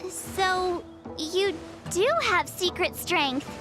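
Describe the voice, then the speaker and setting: A woman playing a perky, inquisitive teenage girl adventurer in an animated game, with a cutesy, candid way of talking. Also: extremely high pitch, extremely slow, over music, exaggerated voice. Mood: astonished, curious. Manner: exclamatory, cutesy, curious. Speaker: voice actor. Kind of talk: animated game cutscene dialogue.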